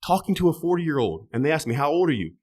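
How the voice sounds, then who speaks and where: Animated thirty-something man, loose and profane. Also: a little high, fast talker. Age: 30s. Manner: loose, profane, swinging between loud emphatic bursts and quiet trailing asides. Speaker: podcast guest. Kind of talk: casual conversation.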